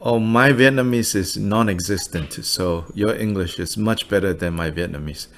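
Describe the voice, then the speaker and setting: A man giving a relaxed Buddhist teaching over a video call, laughing often and using many fillers. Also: fairly low pitch, moderate pace, slight background noise.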